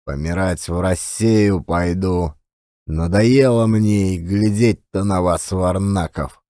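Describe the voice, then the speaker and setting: A man voicing a surly, contemptuous old billiard marker who grumbles in a drawn-out monotone. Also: low voice, dry recording, clean studio sound, pitched below the performer's own voice. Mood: bitter, scornful. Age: older.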